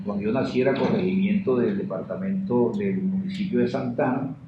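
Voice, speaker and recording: A man's voice: on the low side, fairly quick. A man in his sixties with a Colombian Caribbean accent, answering interview questions in an anecdotal, conversational way. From Caribbean river region of Colombia. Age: 60s. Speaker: interviewee, sociologist and teacher. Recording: muffled, echoey, noisy room recording.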